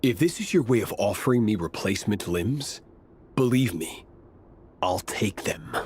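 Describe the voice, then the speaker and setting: An actor playing a proud, stern male knight in a video game, curt and deadpan. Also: fairly low pitch, normal speed, a little hiss or hum, lightly acted. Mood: weary disdain.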